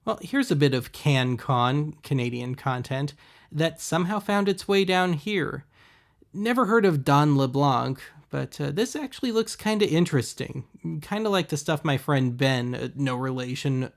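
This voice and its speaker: Wry man with a creaky voice. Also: mid-range voice, a little slow. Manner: wry, sarcastic. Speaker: host of a thrift-shopping video series. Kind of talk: casual scripted voice-over commentary.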